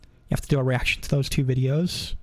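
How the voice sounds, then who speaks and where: A man's voice: mid-range voice, little pitch movement, a little fast, darkish tone, no echo. A man chatting casually on a live game stream, rambling and often interrupting himself.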